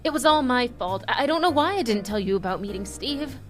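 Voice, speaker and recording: A woman's voice: a little high, lively intonation, brisk, faint music behind, close to an everyday voice. A woman playing a sincere, tender mother in an old-time radio drama, speaking earnestly and emotionally. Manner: earnest, emotional, hesitant restarts.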